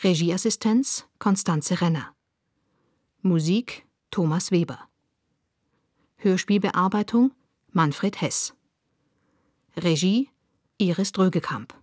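A woman reading scripted radio drama credits as an announcer, in a measured, formal way. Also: fairly low pitch, a little mellow, no room sound, clean studio sound.

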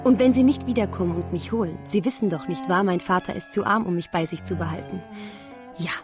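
An actress as a wistful young bride, music behind. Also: female voice, lowish voice, fast talker, close to an everyday voice. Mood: resigned. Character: melancholy, yearning young bride who left her fiancé. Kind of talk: literary radio drama acting.

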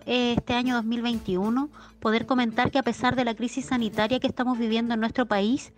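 Slightly high-voiced Chilean woman, informing. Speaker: municipal programme coordinator. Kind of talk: prepared informational statement. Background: faint music.

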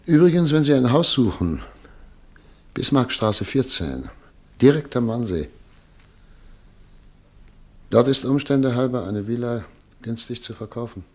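An actor playing a departing professor in an old radio play, resigned and measured. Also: male voice, medium pitch, animated, a little mellow. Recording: old mono radio drama.

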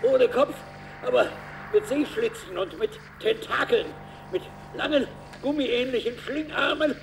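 An actor playing a horrified starship commander and father in a German science-fiction radio drama, speaking in halting, agitated exclamations. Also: very high-pitched, music in the background, exaggerated voice. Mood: shaken. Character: horrified male starship commander, a father facing alien monsters. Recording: clearly noisy old radio play recording.

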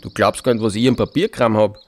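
An actor playing a matter-of-fact rural forester with an Austrian accent and a plain, unfussy, dialect-tinged way of talking. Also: male voice, lowish voice, steady tone, fast, a little hiss or hum, close to an everyday voice. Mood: easygoing. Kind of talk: dramatic dialogue. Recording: clear music behind the voice.

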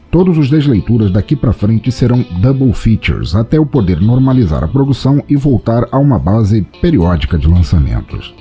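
A man's voice: fairly low pitch, mellow. A Brazilian man hosting and narrating a podcast from a script, with a wry, theatrical manner.

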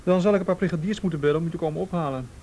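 Actor as a firm, higher-voiced policeman. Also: racing, dark tone, slight background noise, lightly acted. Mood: polite, firm. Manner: polite, official, insistent. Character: calm, firm male vice police officer. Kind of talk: naturalistic radio play acting.

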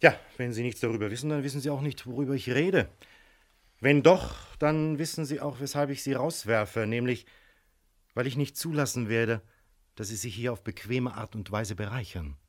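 Stern customs officer played by a man, medium pitch. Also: natural voice. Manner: brisk, authoritative. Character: stern, clipped customs officer, reluctantly strict. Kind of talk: 1970s German crime radio play.

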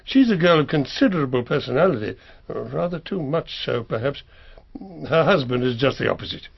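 Elderly English solicitor, proper, precise, lively intonation, husky, radio play, muffled recording. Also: male voice, medium pitch. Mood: measured, disapproving. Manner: formal, measured, precise, faintly disapproving. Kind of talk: radio drama acting.

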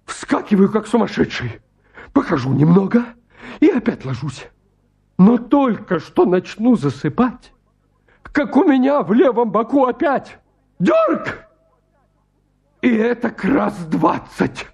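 High-pitched, stammering nervous suitor. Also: male voice, very animated, slow pace, creaky, long pauses, a little mellow, husky, exaggerated voice. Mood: anxious, agitated. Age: 30s. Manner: stammering, flustered, hesitant. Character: nervous hypochondriac bachelor suitor of thirty-five. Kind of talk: stage comedy acting in a radio play.

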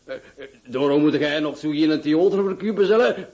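A drunken stammering peasant. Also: male voice, on the high side, lively intonation, fairly quick, a little mellow. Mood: sheepish. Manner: stammering, slurred, folksy. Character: drunken boorish peasant man, sheepish and jovial. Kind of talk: radio drama acting. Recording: dull lo-fi radio play recording.

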